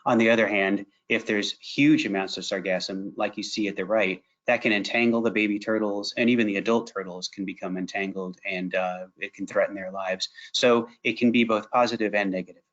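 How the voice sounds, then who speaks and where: Halting low-voiced scientist on a call. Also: male voice, steady tone, fairly bright tone. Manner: measured, hesitant with many uhs. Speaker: scientist presenter answering questions. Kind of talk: Q&A on a video call. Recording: dull lo-fi video call audio.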